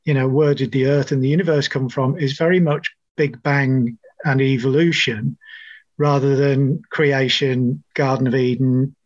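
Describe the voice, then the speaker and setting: A middle-aged man with a New Zealand accent, a nurse guest telling his story in a measured, thoughtful way. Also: medium pitch, steady tone, dry recording, lo-fi sound. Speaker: interview guest, registered nurse. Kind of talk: conversational interview storytelling.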